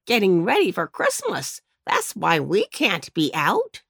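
Eager puppy boy, woman doing him, slow, barky. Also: fairly low pitch, huge pitch swings, creaky, breathy edge, no room sound, studio quality, full character voice. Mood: busy. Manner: barking, eager.

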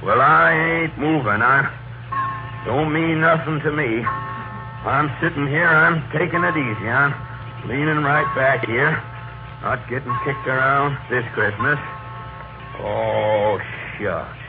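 An actor playing a weary, grumbling old Santa Claus with folksy, rustic grammar in a 1930s radio comedy. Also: male voice, mid-range voice, takes it slowly. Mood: weary, stubborn. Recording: muffled old radio broadcast, music behind.

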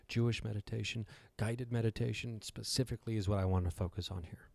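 A man with a slightly raspy voice, giving a casual, rambling talk with wry, self-deprecating asides. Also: fairly low pitch, a little mellow, dry recording. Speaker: host giving a talk on meditation. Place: hotel room.

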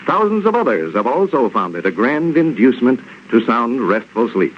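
A man's voice: mid-range voice, big pitch swings, moderate pace. A man with an American accent announcing a 1930s radio show, reading sponsor copy and scripted narration. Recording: muffled 1930s radio with faint noise.

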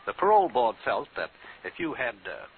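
An actor playing a kindly, trusting store manager, affable and formal, in a 1950s radio drama. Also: male voice, medium pitch, huge pitch swings, brisk. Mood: welcoming, trusting. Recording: tinny old radio broadcast, faint hiss.

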